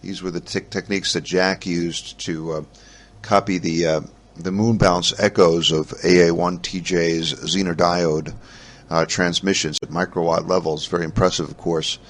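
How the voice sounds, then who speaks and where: A man with a notably deep voice hosts a casual solo podcast. He rambles along haltingly, often pausing and restarting his sentences as he works through his notes. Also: little pitch movement. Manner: halting, rambling delivery with frequent pauses and restarts. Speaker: podcast host. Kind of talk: casual solo podcast talk about ham radio.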